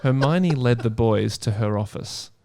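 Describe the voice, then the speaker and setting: Man, medium pitch, casual comedic chat, podcast guest, story reading. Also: measured pace, creaky, warm tone.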